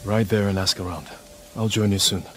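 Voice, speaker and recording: Man playing a deep-voiced, solemn samurai warrior. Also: fairly even intonation, fairly bright tone, faint music behind, lightly acted. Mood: determined. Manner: solemn, measured, resolute. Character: grim, honor-bound young male samurai warrior seeking to save his uncle. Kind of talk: dramatic video game acting.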